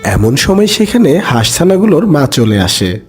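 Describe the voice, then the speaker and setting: A man's voice: on the high side, very animated, normal speed, faint music behind. A man narrating a scripted children's fairy tale.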